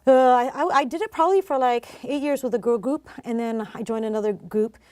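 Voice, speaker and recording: A woman's voice: fairly high pitch, a little fast, a little mellow, dry recording. A woman guest telling her personal story in a casual, chatty way, with bits of light laughter. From Philippines and New Zealand. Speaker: interview guest, singer and worship director.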